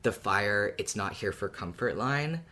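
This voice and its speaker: A podcast co-host speaks in a measured, thoughtful way. The delivery is casual but explanatory, as in a relaxed discussion among fans. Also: deep voice.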